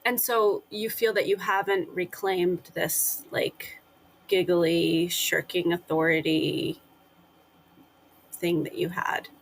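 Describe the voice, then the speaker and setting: A woman interviewing a guest, asking gentle, probing follow-up questions. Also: on the low side, steady tone, slow pace, slight background noise, poor audio. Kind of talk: conversational interview.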